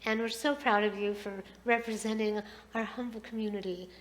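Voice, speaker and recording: A woman's voice: medium pitch, steady tone, normal speed. A middle-aged woman leading a service in a synagogue sanctuary, heartfelt and affectionate as she gives a blessing and a sermon.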